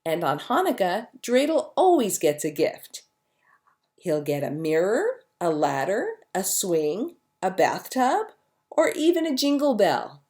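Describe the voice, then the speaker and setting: A woman reading a children's story aloud as a narrator, with expressive storytelling delivery. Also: mid-range voice, a little slow. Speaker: storybook reader.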